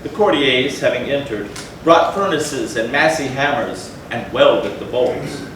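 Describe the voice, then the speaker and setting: A man giving a dramatic stage reading of a gothic story with theatrical, measured phrasing. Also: medium pitch, expressive, fairly bright tone. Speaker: stage reader. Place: indoor theatre, far from the mic. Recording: echoey theatre from far off, faint noise.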